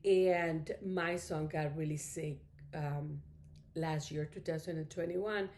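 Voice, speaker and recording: A middle-aged woman with a somewhat low voice, whose first language is Spanish. She talks casually to camera, rambling and thankful, leaning on "you know" as she goes. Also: steady tone, slow pace, darkish tone. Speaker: craft vlogger.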